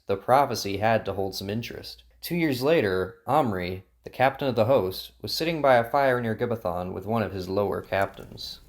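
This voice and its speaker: A man narrating a Bible story from a script as the narrator of an audio drama. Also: lowish voice. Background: music with plucked guitar.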